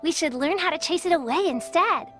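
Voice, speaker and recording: A woman's voice: extremely high pitch, lively intonation, brisk, a bit bright, faint music behind. A woman voicing an eager young village child, earnest and childlike.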